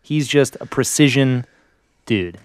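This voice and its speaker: A man's voice: medium pitch, lively intonation, takes it slowly, clear, resonant tone. A man co-hosting a podcast with casual banter, often chiming in and echoing his partner. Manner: interjects and echoes his co-host. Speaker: podcast co-host.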